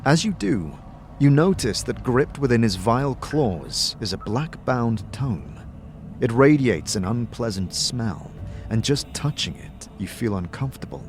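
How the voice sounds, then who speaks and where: A man giving grave, expressive dramatic narration of a fantasy story. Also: medium pitch, measured pace. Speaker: narrator, also voicing the player character. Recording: music behind the voice.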